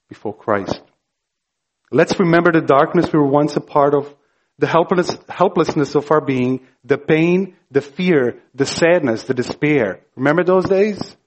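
A man's voice: medium pitch, husky, muffled recording. Earnest Croatian-accented preacher man. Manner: earnest, slow and emphatic, rising to fervent. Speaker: pastor. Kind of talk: sermon.

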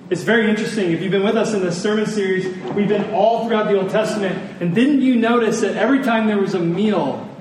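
A man with a fairly high voice preaches earnestly in a very echoey room. He speaks deliberately and repeats phrases for emphasis. The microphone sounds a little distant. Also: darkish tone. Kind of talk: sermon to a congregation. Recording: very echoey room, distant mic, faint noise.

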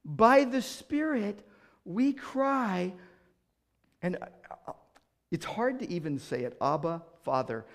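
A middle-aged man preaches a sermon in a voice that sits high for a man. He moves from loud, emphatic declarations to quiet, hushed asides. He often doubles a word as he starts a new thought. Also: animated, slow, pauses often. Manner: repeats words when starting sentences. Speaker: pastor.

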